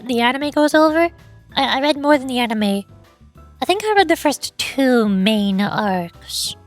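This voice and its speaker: A young woman streaming a game in casual, rambling chat, her voice hoarse and fading. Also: high-pitched. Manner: rambling, self-pitying banter with fillers. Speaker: VTuber streamer.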